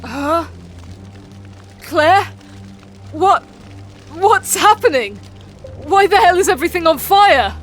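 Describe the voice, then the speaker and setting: A man's voice: very high-pitched, lively intonation, slow, pauses often, a bit bright, faint music behind, full character voice. A man playing a frantic, blunt, sweary teenage boy in an audio drama. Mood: confused, alarmed. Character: panicked, sweary British teenage boy searching for sister.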